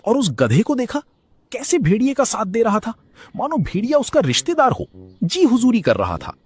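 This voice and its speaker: A man's voice: very high voice, huge pitch swings, normal speed, breathy edge, exaggerated voice. A man voicing an indignant, complaining jungle animal in a cartoon fable. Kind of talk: animated children's fable acting.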